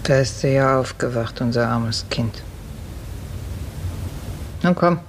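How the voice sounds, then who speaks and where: A woman's voice: very deep voice, takes it slowly, exaggerated voice. Motherly, world-weary peasant woman. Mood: soothing, motherly. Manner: soothing, resigned. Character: motherly, world-weary stable woman who tends the sick. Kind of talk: 1950s German radio drama acting. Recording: faintly noisy 1950s radio recording.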